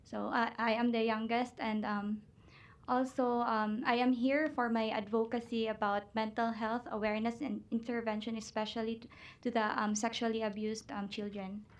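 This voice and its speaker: A woman's voice: fairly high pitch, flat delivery, normal speed. A woman with a Filipino accent introducing herself hesitantly at a formal gathering. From Philippines. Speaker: elected local official. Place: legislative chamber, live stream.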